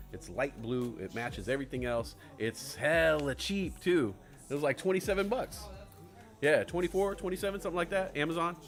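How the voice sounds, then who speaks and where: Young male stream host, lively voice with faint music underneath. Swears a lot and rambles, swinging from low mumbles to loud shouts. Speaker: livestream host. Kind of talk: casual live stream chat.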